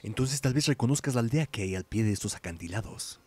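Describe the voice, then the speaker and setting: A man's voice: fairly low pitch, expressive, quick pace, a little mellow, husky. A man reading aloud the lines of an earnest, pensive video game hero.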